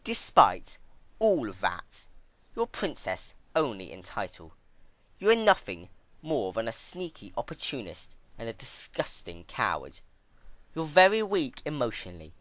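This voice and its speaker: A man's voice: medium pitch, wildly swooping pitch, measured pace, fairly bright tone, no echo. A man voicing a cruel female pony villain who taunts with mock sweetness in a fan-fiction story. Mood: gloating.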